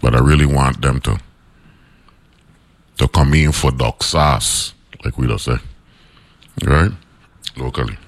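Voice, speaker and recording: A man with a deep baritone voice hosting a morning radio talk show from a studio in the US Virgin Islands, chatty, with lots of fillers and "right?" tags. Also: slow pace, vocal fry, pauses often. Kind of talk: casual radio monologue.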